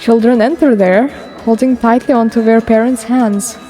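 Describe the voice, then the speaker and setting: A woman acts a spirited adventurer heroine in a fantasy game dub. She has a fairly high voice and describes things vividly, like a keen storyteller, over music. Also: steady tone, normal speed, mellow, lightly acted. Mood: enthusiastic. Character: lively human fixer woman, a keen storyteller. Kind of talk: dramatic game fandub acting.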